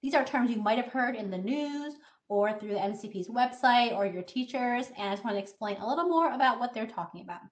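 A woman's voice: on the high side, animated, brisk. A woman in her thirties, a scientist, giving a friendly, explanatory online presentation to students over a video call. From Taiwan, raised in the United States. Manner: friendly, explanatory, with filler uhs. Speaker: scientist giving a talk to kids.

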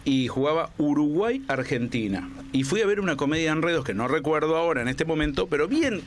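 A man who talks fast and tells jokes, with a voice that sits a little high for a man. He rattles through his stories with a light, animated touch. A faint ambient noise hangs behind him. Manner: joking, animated anecdote teller. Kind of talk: casual panel chat.

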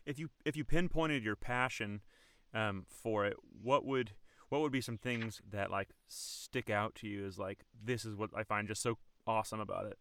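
A man's voice: fairly low pitch, fairly even intonation, moderate pace, fairly bright tone, no room sound. A man hosting a podcast, chatting casually and rambling with lots of "like" and "um". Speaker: podcast host. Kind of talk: casual chat.

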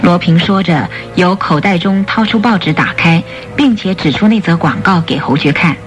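A woman's voice: lowish voice, normal speed. A woman narrating a radio drama in a scripted storytelling style. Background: music.

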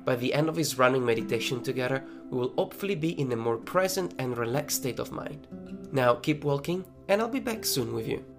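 A man narrating a guided running meditation as a coach, giving gentle instructions, with plucked guitar behind him. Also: medium pitch, expressive, a little fast, vocal fry. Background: plucked guitar music.

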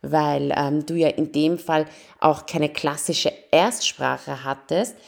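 A woman's voice: low-pitched, steady tone, slow, vocal fry. A woman, interviewing a guest and explaining ideas about language teaching. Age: 30s. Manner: explanatory, lecturing on multilingual teaching. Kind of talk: casual interview.

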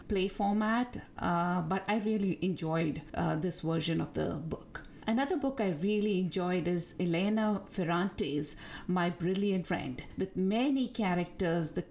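A middle-aged woman whose first language is Tamil, chatting thoughtfully on a podcast with frequent pauses. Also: mid-range voice, a little mellow, slight background noise. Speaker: podcast co-host. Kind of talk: casual podcast chat.

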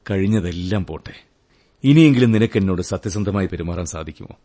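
An actor playing a wounded, world-weary young husband in a radio drama, sardonic and melancholy, speaking in measured phrases. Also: male voice, low-pitched, animated, warm tone. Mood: melancholy, probing. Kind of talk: Malayalam radio drama acting.

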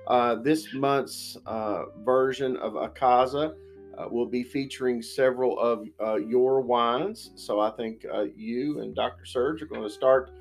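A man's voice: mid-range voice, fairly even intonation, unhurried, faint music behind. A man hosting an interview, talking politely to a guest. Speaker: host.

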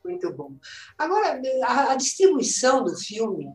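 Female host, encouraging interviewer, animated pitch, roomy far-off mic. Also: a little slow. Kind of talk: interview questions. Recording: roomy and distant with faint music.

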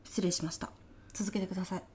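A woman's voice: lowish voice, steady tone, quick pace, warm tone, slightly rough. A woman playing a polite but easily exasperated veteran job interviewer in an audio comedy sketch, speaking formal business Japanese. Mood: polite, composed.

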